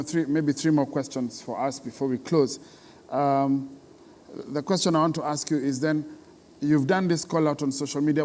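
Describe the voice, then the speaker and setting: A man's voice: medium pitch, a little mellow, slight background noise. A man hosts an interview in a conversational, attentive way. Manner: attentive, frequent short acknowledgements. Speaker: interview host.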